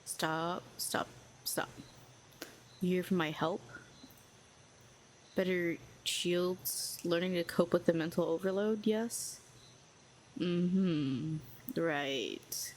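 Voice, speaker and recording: A woman playing a sardonic telepathic villainess, low-voiced. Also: drawn-out words, pauses often, slight background noise. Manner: sardonic, cool, needling. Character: proud telepathic female villain, cynical, lonely. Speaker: audio roleplay performer. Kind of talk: scripted audio roleplay.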